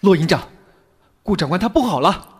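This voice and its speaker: A man playing an anxious, loyal soldier aide with a husky voice in a Chinese radio drama. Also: high voice, animated, fairly quick, heavily acted. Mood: worried, pleading.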